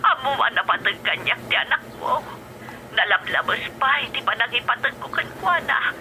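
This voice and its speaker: A woman's voice: a little high, animated, normal speed, pushed and bright, a little hiss or hum, poor audio. A woman playing an older grandmother, emotional and pleading, in an Ilocano-accented radio drama. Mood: defensive, pleading. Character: older grandmother, defensive, pleading with her daughter.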